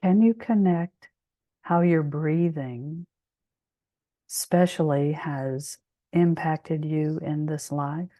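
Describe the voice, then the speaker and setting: Female meditation guide, soothing and very unhurried, mellow tone, close dry sound. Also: sits low, no echo. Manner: soothing, measured, with long pauses.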